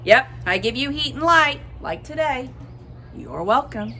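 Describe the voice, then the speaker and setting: A woman voicing a boastful, playful Sun from a picture book, theatrical and bragging with drawn-out emphasis. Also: high voice, big pitch swings, vocal fry, bright, forceful tone, slight background noise, voice pushed up, full character voice. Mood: playful, proud. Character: boastful, playful Sun, a cheerful showboating star. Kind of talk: expressive picture-book read-aloud.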